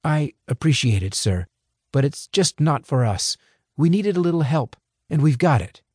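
A man's voice: a little high, lively intonation, normal speed, a little mellow, slightly rough, dry recording, clean studio sound, voice pushed up. A man playing an anxious, guarded teenage boy. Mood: wary. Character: wary, earnest teenage boy courier.

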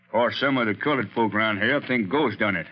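A muffled vintage radio recording of a man acting as a hill-country sheriff. He is low-pitched, earnest and plain-spoken, with folksy rural phrasing in classic radio-drama style. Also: fairly quick, lightly acted. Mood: earnest, matter-of-fact.

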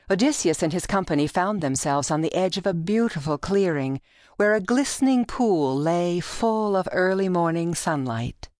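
A woman narrating a literary audiobook with measured, deliberate phrasing, recorded in a studio. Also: lowish voice, darkish tone, dry recording. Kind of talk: scripted literary storytelling narration.

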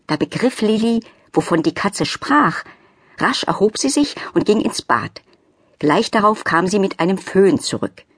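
A woman's voice: medium pitch, very animated, measured pace, breathy edge, dry recording, studio quality. A woman reading a children's story as a scripted audiobook narration.

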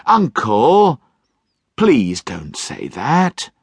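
A bright, good-hearted young man pleads his case warmly, voiced by a man reading aloud. His voice lifts high and bounces through a wide, lively range at an unhurried pace. The sound is close and dry. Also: no echo, full character voice. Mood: cheerful. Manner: warm, pleading. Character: cheerful, kind young man. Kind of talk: storybook reading.

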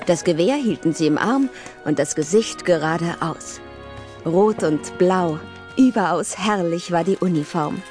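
A woman with a fairly low voice reads a fairy tale in a measured, clear storytelling manner. Faint music sits under the studio reading. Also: darkish tone. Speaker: audiobook narrator. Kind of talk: scripted fairy-tale narration.

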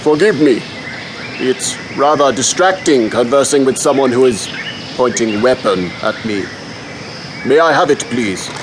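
A suave male villain, played by an actor, a slave trader from Zanzibar who speaks with formal, imperious courtesy. His voice is mid-pitched, over noisy outdoor effects in a radio drama. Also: expressive, unhurried, natural voice. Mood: suave menace. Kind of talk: radio adventure drama acting.

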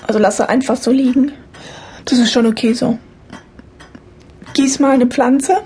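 A woman in her thirties reads her own life story as a scripted audiobook, matter-of-fact and in deliberate short phrases. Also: fairly high pitch, steady tone, mellow, slightly rough. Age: 30s. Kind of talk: scripted autobiographical audiobook narration. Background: music.

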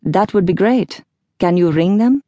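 A suave male vampire, performed by a woman narrator, restrained and composed, apt to mutter. The audiobook voice sits low for a woman, in a dry close space. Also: animated, measured pace, dark tone, no room sound. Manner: restrained, often muttering or whispering. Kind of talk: audiobook character voice.

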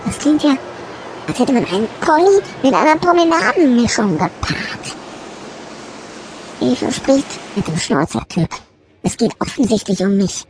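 A woman voicing a hungry, proud young male shepherd dog in a comedy audio sketch, grumbling to himself in an inner monologue with wild swings in pitch. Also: sing-song, normal speed, pauses often, darkish tone, low-quality recording. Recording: clear background noise.

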